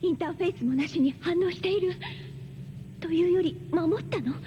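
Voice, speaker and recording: A woman's voice: extremely high pitch, extremely slow, muffled recording, full character voice. An actress playing an intense, analytical adult woman scientist in an anime. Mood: stunned, tense. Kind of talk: anime voice acting. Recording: noisy old cassette copy.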